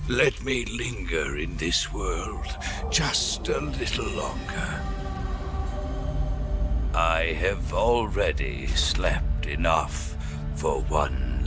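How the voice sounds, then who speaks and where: A centuries-weary old marksman for a video game, reverent and menacing. The words come extremely slowly and ceremoniously, with big swings in pitch. Loud music plays over him. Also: expressive, full character voice. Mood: reverent pleading. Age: over 100 as played. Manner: slow, solemn, ceremonious, drawn-out delivery. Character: ancient legendary sniper, weary, reverent, theatrically menacing old man. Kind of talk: dramatic video game acting.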